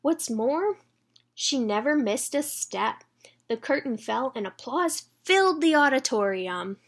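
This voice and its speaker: High-voiced woman reading a storybook. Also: animated, measured pace. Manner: engages young listeners with questions. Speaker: children's read-aloud reader. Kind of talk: expressive storybook read-aloud.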